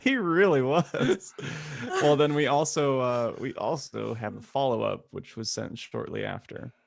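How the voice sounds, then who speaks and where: A man's voice: mid-range voice, unhurried, gravelly, a little hiss or hum. A man co-hosting a podcast in casual comedic banter, with a wry, teasing manner. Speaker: podcast co-host.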